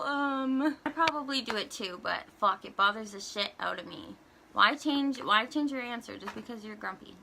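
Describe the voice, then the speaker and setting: A woman's voice: on the high side, creaky, bright, forceful tone, a little hiss or hum. A young woman ranting casually in a vlog, exasperated and swearing, with lots of "like" fillers. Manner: exasperated, swears, heavy use of "like". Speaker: vlogger.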